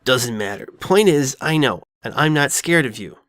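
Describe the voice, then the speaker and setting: A woman voicing a loyal, reassuring young boy dragon who talks plainly and gently insists, in an audio drama. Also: extremely low pitch, lively intonation, moderate pace, no room sound, clean studio sound, voice pushed down. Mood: earnest, insistent. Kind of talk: all-dialogue fan audio drama.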